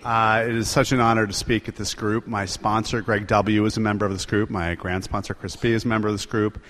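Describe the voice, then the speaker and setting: A man's voice: fairly low pitch, flat delivery, fairly quick, fairly bright tone. Earnest man sharing at a meeting. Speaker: speaker at a recovery meeting. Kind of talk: personal share at a meeting.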